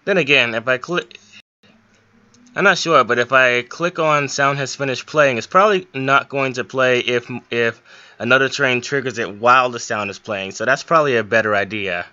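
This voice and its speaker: A man's voice: medium pitch, normal speed, pushed and bright, clear, resonant tone, no room sound, highs cut off. A young man giving an informal, step-by-step screen tutorial with a somewhat hesitant way of explaining. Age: young adult. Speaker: hobbyist game modder giving a tutorial.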